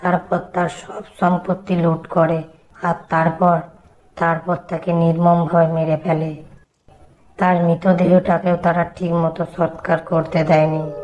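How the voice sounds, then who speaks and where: A woman voicing a worried old grandmother in a horror cartoon, telling ghost tales slowly and gravely. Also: lowish voice, level tone, a little mellow, muffled recording, slight background noise, close to an everyday voice. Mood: grave, ominous.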